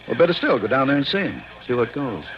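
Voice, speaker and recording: An American man playing a plain-spoken, gruff police sergeant in a 1950s radio crime drama, blunt, folksy and emphatic. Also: mid-range voice, sing-song, fast talker, close to an everyday voice. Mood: helpful. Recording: dull, noisy old radio recording.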